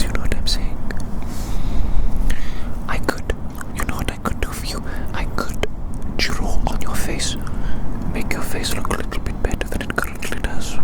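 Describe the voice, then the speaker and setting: An ASMR creator whispers a playful, fond, repetitive roleplay ramble up close, recorded outdoors. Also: poor audio. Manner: playful, gently repetitive, addressing the listener fondly. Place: outdoors on a windy university campus.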